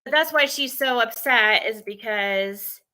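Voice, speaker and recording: A woman hosting a livestream, talking casually and haltingly, thinking aloud as she rambles through asides. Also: a little high, sharp tone. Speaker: livestream panel host.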